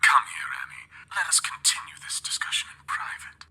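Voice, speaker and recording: An actor voicing a bickering, theatrical paranormal being in a video game, husky and often whispering, wounded and sardonic. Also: male voice, fairly quick, sharp tone. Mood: aggrieved, theatrical. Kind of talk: video game character acting.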